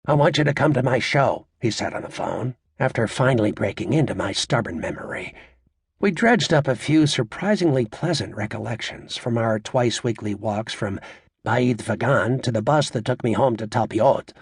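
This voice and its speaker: A male audiobook narrator reads literary fiction in a measured, understated way. His voice is husky with a gravelly edge, recorded very dry. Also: mid-range voice, lively intonation, no echo.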